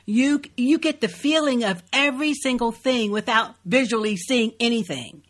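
A woman co-hosting a podcast in casual chat, agreeable and quick to chime in with affirmations. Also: a little high. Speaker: podcast co-host.

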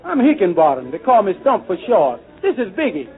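An actor playing an earnest, hopeful, colloquial tavern regular in a 1940s radio drama. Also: male voice, high voice, wildly swooping pitch, a little fast. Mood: earnest, protesting. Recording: tinny old radio with music behind.

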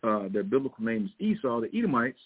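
Midwestern male host, husky voice, tinny phone-quality audio, chatty and emphatic, talks right to the audience. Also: medium pitch, sing-song, fast talker. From Missouri, American Midwest. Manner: conversational, emphatic, keeps addressing listeners directly. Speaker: show host and priest. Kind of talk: casual news commentary with scripture talk.

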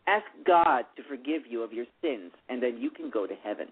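A man's voice: mid-range voice, animated, a little fast, breathy edge. A man voicing a snide, self-righteous religious fanatic girl who mocks and preaches with a valley-girl "like". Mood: sneering. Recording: tinny phone-line radio.

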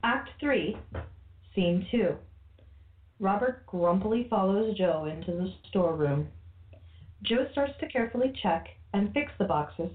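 A woman's voice: mid-range voice, lively intonation, measured pace, narrow, tinny audio, close to an everyday voice. A woman acting as the storyteller, reading scripted narration for a time-travel adventure radio play. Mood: dramatic storytelling.

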